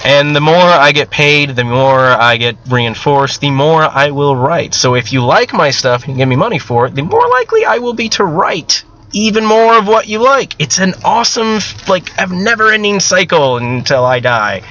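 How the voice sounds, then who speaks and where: A man's voice: on the high side, lively intonation, normal speed, few pauses, sharp tone, lo-fi sound, a little hiss or hum. A man talking casually and unscripted to viewers as an amateur writer, rambling, candid and self-deprecating, with casual swearing. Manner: casually profane. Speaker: amateur novelist and video host.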